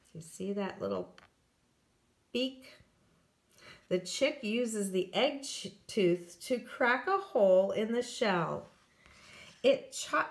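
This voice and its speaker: A woman, an elementary school teacher, reading a children's nonfiction book aloud with careful phrasing for young listeners. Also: medium pitch. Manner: careful, clearly phrased read-aloud delivery with emphasis for children. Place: indoors at home, hard-surfaced kitchen. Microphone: handheld phone. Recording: echoey room, handheld home recording.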